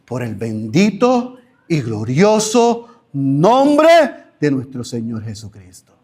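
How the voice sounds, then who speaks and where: Animated pastor preaching. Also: male voice, medium pitch, takes it slowly, breathy edge. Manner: animated preaching, acts out imagined voices. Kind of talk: sermon.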